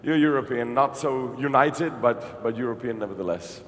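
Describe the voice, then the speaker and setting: A man's voice: medium pitch, a bit bright. A man moderating a conference panel, chatting and joking with frequent restarts. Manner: joking, conversational, halting restarts. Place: conference hall. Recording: reverberant hall with faint noise.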